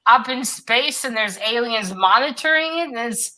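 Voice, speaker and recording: Woman, bright sharp tone, slightly high pitch, informal live-stream book chat, joking. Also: lively intonation, normal speed. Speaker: co-host of a literary read-along stream.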